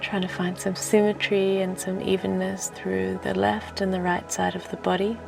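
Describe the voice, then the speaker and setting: Soft, low-pitched woman guiding meditation with creaky voice. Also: little pitch movement. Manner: gentle, soothing, unhurried with long pauses. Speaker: meditation teacher and host. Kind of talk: guided relaxation meditation. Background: music.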